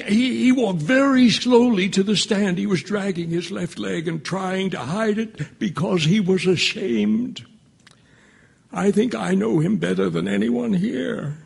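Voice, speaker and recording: An actor playing a gentle old man on a jury in an audio drama, speaking haltingly with stammers and a thin, husky voice. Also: sits high, heavily acted. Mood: halting, sorrowful. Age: older. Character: frail, gentle old male juror, quietly earnest. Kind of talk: stage-style audio drama acting.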